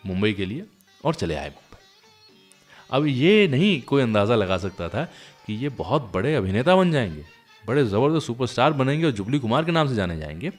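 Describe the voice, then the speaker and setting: A man presenting a classic film music show, telling anecdotes in a chatty way and speaking directly to listeners. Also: fairly low pitch. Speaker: radio show host. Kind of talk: conversational storytelling presentation of classic film songs.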